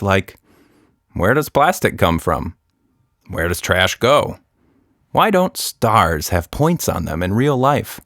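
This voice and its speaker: A man narrating a scripted children's story as a podcast storyteller, deliberate and expressive. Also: fairly low pitch, dry recording, clean studio sound.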